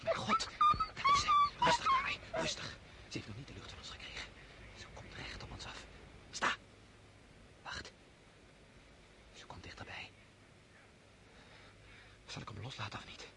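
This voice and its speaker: An eager young noble hunter. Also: male voice, extremely high pitch, normal speed, pauses often, in a whisper, full character voice. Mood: hushed hopeful. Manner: excitable, swings from hushed to shouting. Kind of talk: vintage radio drama acting. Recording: hissy old radio play recording.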